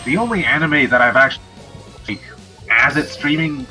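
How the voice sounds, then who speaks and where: A man's voice: medium pitch, animated, moderate pace, sharp tone, faint music behind. A young man in casual livestream chat, self-deprecating and given to swearing.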